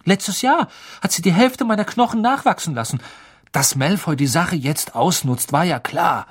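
A man acts out a storybook schoolboy with a raised voice. He is forthright and loyal, quick to take offence on a friend's behalf. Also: a little high, expressive, breathy edge, pitched above the performer's own voice. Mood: indignant. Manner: direct, forthright. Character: blunt, loyal, indignant young schoolboy.

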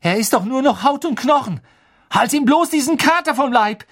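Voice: medium pitch, big pitch swings, normal speed, husky, dry recording, studio quality, pitched above the performer's own voice. A narrator voicing a hot-headed, grumpy teenage schoolboy in an audiobook, blunt, irritable and complaining. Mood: angry. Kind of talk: audiobook character acting.

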